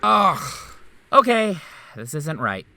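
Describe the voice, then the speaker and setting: A man's voice: sits high, big pitch swings, slow pace, vocal fry, pushed and bright, soft background music, heavily acted. A man voicing a sarcastic, impatient male doctor, wry and exasperated. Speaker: voice actor in a fan game dub.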